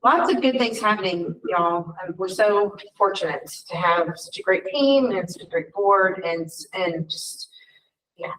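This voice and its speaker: A woman chairing a board meeting in a relaxed, informal way. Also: on the low side, measured pace, a little hiss or hum. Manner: warm, informal, lots of fillers. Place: meeting room. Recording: distant room mic at a meeting.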